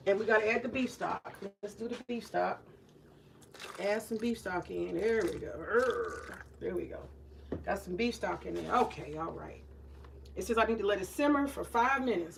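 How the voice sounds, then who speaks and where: A woman's voice: mid-range voice, vocal fry. Forties woman, retired teacher type, loose swearing cooking-vlog chatter, light hiss behind. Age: 40s. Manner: candid, joking, swears freely, talks to viewers. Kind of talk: casual cooking vlog chat. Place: home kitchen.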